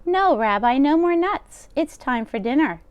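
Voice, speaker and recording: A woman's voice: sits high, animated, measured pace, no echo, muffled recording, pitched above the performer's own voice. A woman voicing a kindly, fussing grandmother of ninety-seven who is hard of hearing, in a read-aloud storybook. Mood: chatty. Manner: cheerful, fussing hostess.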